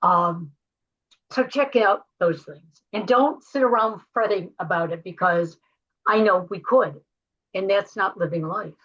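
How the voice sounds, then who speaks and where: An older American woman hosting a podcast, giving conversational advice to listeners in a measured, explanatory way. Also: mid-range voice, fairly bright tone, breathy edge, lo-fi sound. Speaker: podcast host.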